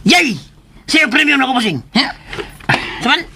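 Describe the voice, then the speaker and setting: An actor voicing an excitable, cheeky young errand boy in a radio drama, whining and exclaiming. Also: high voice, measured pace, background noise, heavily acted. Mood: excited, wheedling. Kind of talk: Philippine radio drama acting.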